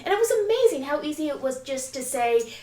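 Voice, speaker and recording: A woman's voice: sits high, big pitch swings, brisk, dark tone. A woman on a discussion panel, telling an anecdote in casual conversation. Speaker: podcast panelist. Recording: echoey room, distant from mic.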